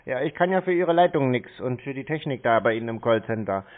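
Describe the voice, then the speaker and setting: A man's voice: medium pitch, animated, fast talker. A man in a casual phone conversation, talking as a customer over a tinny line. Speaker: scam-baiting show host posing as a customer on the phone.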